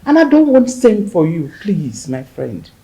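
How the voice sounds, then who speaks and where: A woman plays a widowed woman consoling a friend, her voice low, unhurried and mellow. She speaks soothingly and motherly, over a faint hiss. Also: wildly swooping pitch, gravelly, full character voice. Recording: faint background noise.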